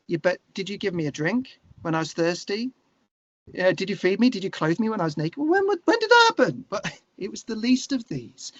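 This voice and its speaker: A middle-aged man speaking earnestly and haltingly as an interview guest, reflecting in a conversational way with emphatic stresses. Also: high-pitched. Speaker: guest, singer-songwriter. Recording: slightly dull, lo-fi conversation audio.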